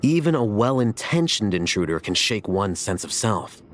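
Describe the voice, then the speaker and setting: A man's voice: fairly low pitch, sing-song, moderate pace, a little hiss or hum. A man playing a scholarly, detached video game character with an analytical manner.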